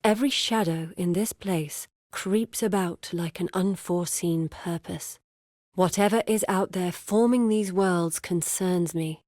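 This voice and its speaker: Woman acting as earnest game explorer lady, kinda low, measured and guarded, dry recording w no room sound. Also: a little mellow, no echo, natural voice. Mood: grave, purposeful. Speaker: video game character voice actor. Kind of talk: scripted game character dialogue.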